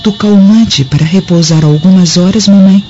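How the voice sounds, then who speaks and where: An actress playing a cold, scheming, falsely sweet daughter in a melodramatic radio play, speaking in a theatrical, deliberate way. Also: female voice, mid-range voice, close to an everyday voice. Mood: falsely caring. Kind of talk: melodramatic radio drama acting. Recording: dull radio drama audio, loud music.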